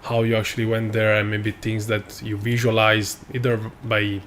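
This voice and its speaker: A man's voice: on the low side, completely flat delivery, moderate pace, fairly bright tone, a little hiss or hum. A man hosting a podcast, asking questions and giving short encouraging replies. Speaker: podcast host. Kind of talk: casual interview.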